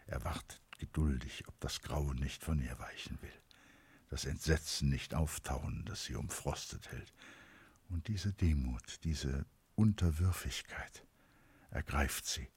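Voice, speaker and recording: An actor as a grave, brooding narrator of a literary radio drama, with a husky, breathy voice. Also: male voice, extremely low pitch, measured pace, a little mellow, no echo, full character voice. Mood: brooding, hushed.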